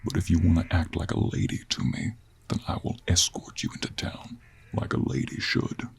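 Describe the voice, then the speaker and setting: A man acts out a stubborn cowboy monster hunter from a fantasy audio drama. His voice is very low and husky, with an American Western drawl. He talks in a gruff, folksy way. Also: normal speed, a little mellow, exaggerated voice. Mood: stern, firm. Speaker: audio role play performer. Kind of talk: dramatic audio role play.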